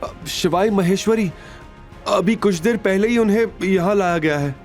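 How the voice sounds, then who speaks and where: A male narrator acts out a young woman in an audio drama, pitched well above a man's usual talk. She is anxious and polite, pleading with imploring urgency. Music plays behind the voice. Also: normal speed, darkish tone. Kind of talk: audio drama character acting.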